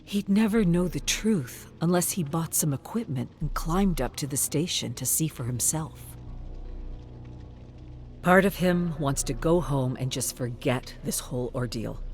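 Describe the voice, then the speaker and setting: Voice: medium pitch, darkish tone. Dramatic story narrator over music. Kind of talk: dramatic scripted audiobook-style narration.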